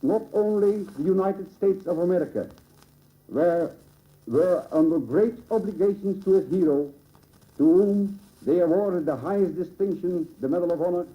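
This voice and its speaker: A man from the Netherlands with a Dutch accent reads a formal, solemn ceremonial speech in measured, oratorical phrases, on an old radio recording. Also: sits high. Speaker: local dignitary giving a dedication address. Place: public ceremony at a monument dedication. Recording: old muffled 1950s radio broadcast recording.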